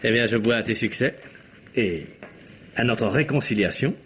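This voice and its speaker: An actor playing a flirtatious, insistent ex-husband, a smooth-talking captain, in a 1950s-style French radio drama. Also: male voice, medium pitch, expressive, normal speed, a bit bright, natural voice. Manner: smooth-talking, teasing, hesitant flourishes. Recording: muffled, noisy vintage radio recording.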